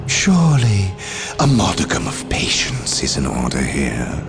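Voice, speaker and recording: Very deep gravelly villain. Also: male voice, wildly swooping pitch, unhurried, exaggerated voice. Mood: scheming. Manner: theatrical, sinister. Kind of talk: dark fantasy game cutscene acting. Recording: loud music behind.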